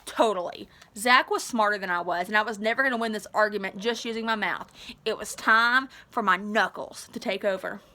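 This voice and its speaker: A woman teacher reading a story aloud expressively, with an animated, informal manner. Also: mid-range voice, fairly quick. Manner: animated, informal asides to listeners.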